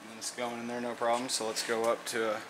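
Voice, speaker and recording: Man, mid-pitched, casual shop narration, thinking aloud; light hum of air conditioning behind. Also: monotone, fast talker. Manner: plainspoken, thinks aloud with fillers and restarts. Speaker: mechanic demonstrating an engine rebuild. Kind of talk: casual how-to narration while working. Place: workshop garage.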